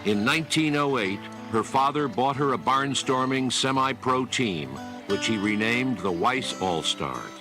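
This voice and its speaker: A man's voice: mid-range voice, expressive, a little slow. A man narrating scripted sports history as a documentary narrator. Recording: guitar music under the voice.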